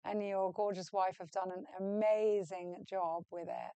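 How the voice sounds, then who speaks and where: A woman's voice: medium pitch, fairly even intonation, unhurried, dark tone, clear, resonant tone, no echo. A woman hosting a podcast, asking her guest questions in an enthusiastic, upbeat way. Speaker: podcast host, hotelier. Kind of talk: casual podcast interview.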